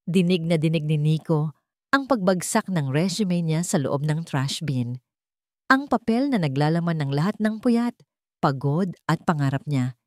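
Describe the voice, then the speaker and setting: A woman's voice: on the low side, darkish tone, no echo, studio quality. A woman with a Filipino accent reading a scripted story in a dramatic storytelling style.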